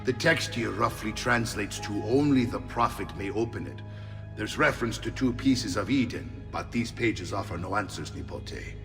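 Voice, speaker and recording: Game uncle, male, middle-aged, gruff and blunt, voiced by an actor, hall reverb, loud music. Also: fairly low pitch, normal speed, close to an everyday voice. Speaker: hero's uncle, mercenary leader.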